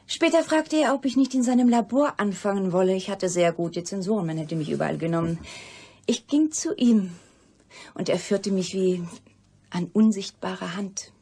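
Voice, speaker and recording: An actress playing a guarded, wistful former lab assistant living as a recluse in a radio crime drama, with a breathy, measured delivery that sometimes drops to a whisper. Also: female voice, mid-range voice, warm tone. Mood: wistful reminiscence. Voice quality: husky, breathy.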